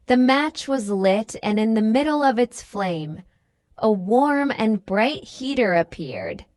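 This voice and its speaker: A woman's voice: on the high side, very animated, slow, darkish tone, dry recording. A woman narrating a scripted children's story as a storybook reader.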